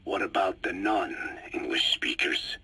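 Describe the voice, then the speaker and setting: An actor playing a grave, ominous old man in a video game, speaking in a breathy, halting, portentous whisper. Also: sits low, animated, bright, forceful tone, exaggerated voice. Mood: grave warning. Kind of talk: dramatic video game dialogue.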